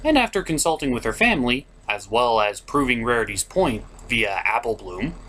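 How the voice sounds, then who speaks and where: A man's voice: mid-range voice, big pitch swings, normal speed, pushed and bright. A man hosting a comedic cartoon review, partly scripted and partly ad-libbed, with a sarcastic, theatrical delivery. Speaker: host of a cartoon review show.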